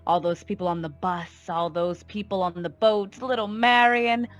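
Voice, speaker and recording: Woman acting a low cold crime boss lady, old radio noir, imperious and mocking, music underneath. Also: steady tone, lightly acted. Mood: scornful taunting. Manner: taunting, deliberate, imperious. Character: cold, commanding female gangster boss, scornful and threatening.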